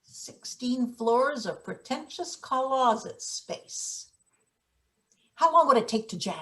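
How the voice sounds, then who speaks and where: Woman playing a cynical scheming workshop boss lady, high voice, bossy and sardonic, stage play read. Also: expressive, slow pace, a bit bright, heavily acted. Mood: brusque, bossy. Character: brusque, scheming, cynical female maintenance worker boss. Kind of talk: theatrical table read of a satirical play.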